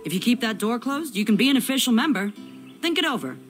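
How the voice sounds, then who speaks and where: A woman's voice: fairly high pitch, big pitch swings, quick pace. An actress playing a proud, feisty woman blacksmith in an adventure game, speaking emphatically. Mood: earnest, insistent. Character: brash, proud young woman village blacksmith. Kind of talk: animated adventure game voice acting. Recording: faint music behind the dialogue.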